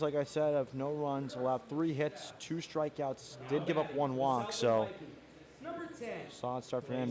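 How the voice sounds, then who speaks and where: American man calling ball games play-by-play. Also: medium pitch. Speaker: play-by-play announcer. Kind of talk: live baseball and softball commentary.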